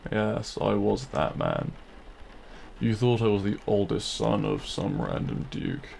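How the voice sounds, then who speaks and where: Demon king male actor for romantic audio roleplay: deep, very unhurried, smug and possessive, courtly menace, some background noise. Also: creaky, darkish tone, exaggerated voice. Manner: theatrical, silky, menacing yet courtly. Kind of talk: scripted audio roleplay, addressed to the listener.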